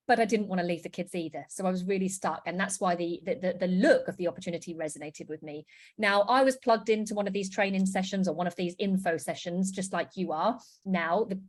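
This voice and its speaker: Bubbly british woman in her thirties pitching on a video call, gushing and upbeat. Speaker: business presenter. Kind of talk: online sales presentation.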